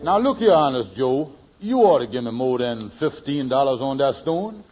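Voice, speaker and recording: A man's voice: medium pitch, very animated, normal speed, soft background music, natural voice. A man playing a scheming, blustering middle-aged con man in a 1940s radio sitcom, stammering and repeating himself. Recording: muffled vintage radio recording.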